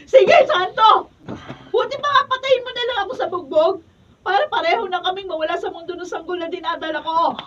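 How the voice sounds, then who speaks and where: A dull, distant recording carries a very high voice: a young Filipino wife in a radio drama. She is long-suffering and outspoken, pouring out her words with bitter emotion. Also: fairly quick, fairly bright tone, a little hiss or hum. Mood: anguished, furious.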